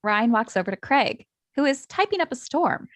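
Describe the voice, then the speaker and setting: A woman's voice: a little high, very animated, moderate pace, no room sound. A woman narrator reading stage directions at a script table read.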